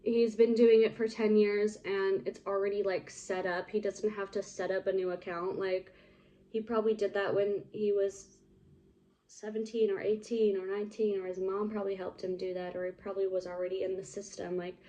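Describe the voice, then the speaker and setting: A woman's voice: mid-range voice, level tone, moderate pace, mellow, low-quality recording. A young woman chatting casually to camera, rambling with lots of likes and ums. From United States. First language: English. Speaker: vlogger.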